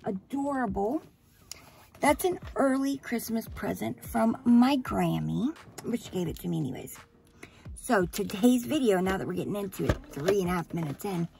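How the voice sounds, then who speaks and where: A woman chatting to her camera with a hoarse, gravelly edge to her voice, the kind of scratchiness that comes after a rough day. She rambles and gushes in an easy, casual way, and faint music sits under her talk. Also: mid-range voice, mellow. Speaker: beauty vlogger.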